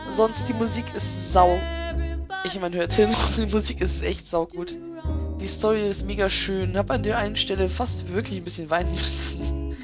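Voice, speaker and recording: A hoarse woman with a chatty, reflective way of talking, giving casual gameplay commentary. Her voice sits at mid pitch, and game music plays clearly behind her. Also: normal speed. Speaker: let's play host.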